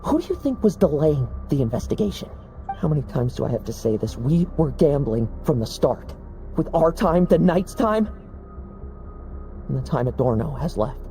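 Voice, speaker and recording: An actor playing a hardened, cynical knight who lectures bluntly in a game cutscene. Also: male voice, fairly high pitch, very animated, normal speed, mellow, faint music behind. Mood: stern.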